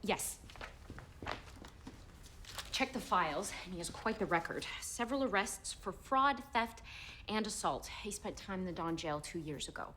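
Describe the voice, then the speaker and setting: A woman's voice: medium pitch, expressive, moderate pace, pauses often, sharp tone, a little hiss or hum. An actress playing an eager, anxious young woman of the 1920s, speaking in an earnest, hesitant way. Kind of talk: television period drama acting.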